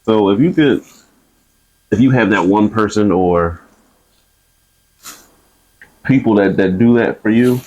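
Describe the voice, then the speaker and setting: A man's voice: lowish voice, measured pace, pauses often, a little mellow, highs cut off. A man who is a guest answering relationship questions, talking in a rambling, hesitant, jokey way in casual chat.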